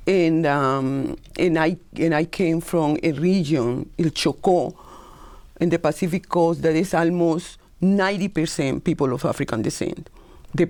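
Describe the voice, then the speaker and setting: Woman guest from Colombia with a Colombian Spanish accent, gravelly and warm-toned, earnest and searching for words. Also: sits low. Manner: earnest, repeats words as she searches for phrasing. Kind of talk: talk show interview.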